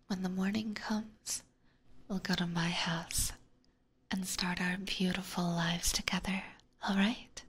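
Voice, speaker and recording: A woman voicing an adoring, possessive vampire catgirl with sweet menace, whispering slowly and tenderly in an ASMR roleplay. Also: mid-range voice. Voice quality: breathy whisper. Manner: slow, tender, coaxing.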